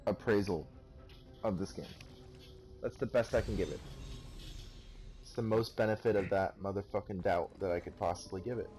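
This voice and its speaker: Young guy ranting over a game, sarcastic and sweary, kinda low voice, crappy mic. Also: moderate pace, pauses often, darkish tone, soft background music. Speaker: let's play commentator. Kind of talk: gameplay commentary. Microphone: cheap, poor-quality microphone.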